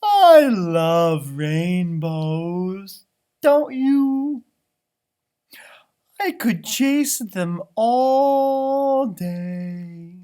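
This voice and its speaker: A man voicing a cheerful, chatty, loyal female puppy in a drawn-out, upbeat way for a storybook. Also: high voice, big pitch swings, dark tone, pitched above the performer's own voice, full character voice. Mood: cheerful, dreamy.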